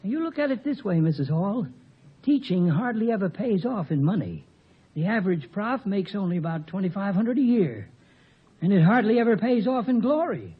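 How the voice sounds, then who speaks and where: A man's voice: sits high, very animated, moderate pace, breathy edge, heavily acted. An actor playing a blunt, folksy, cheerful professor in his seventies in a 1950 radio comedy. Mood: blunt, wry. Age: 70s. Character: seventy-year-old outspoken, cheerful, tobacco-chewing male professor. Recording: muffled old radio recording.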